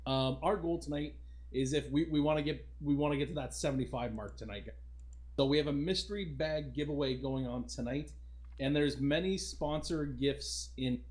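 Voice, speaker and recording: A man's voice: fairly high pitch, normal speed, a little mellow. A man hosting a casual livestream podcast, talking informally and addressing his viewers as guys. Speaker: podcast host.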